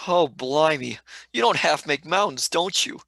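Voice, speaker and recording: Husky, animated, sceptical sci-fi sidekick played by a woman. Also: deep voice, measured pace, dry recording, exaggerated voice. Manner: exclamatory, plain-spoken British slang. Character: blunt, excitable, sceptical young companion in sci-fi serial.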